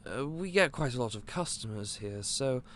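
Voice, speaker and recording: An actor playing a gentle, clumsy candy shop owner who stammers and speaks in a polite, old-fashioned way. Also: male voice, medium pitch, expressive, normal speed, slight background noise, close to an everyday voice. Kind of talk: audio roleplay acting.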